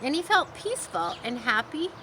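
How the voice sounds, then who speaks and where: Female storybook narrator: very lively, lightish high voice, informal asides, birdsong and faint noise behind. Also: moderate pace. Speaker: storybook reader.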